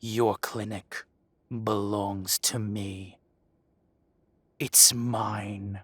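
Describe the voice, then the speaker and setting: A man acting as a possessive dragon shifter mafia boss in a roleplay audio drama, speaking with mock politeness and deliberate, taunting menace. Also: lowish voice, level tone, fairly bright tone, breathy edge, no echo, full character voice. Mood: cold possessive. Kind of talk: scripted roleplay audio drama, addressing the listener.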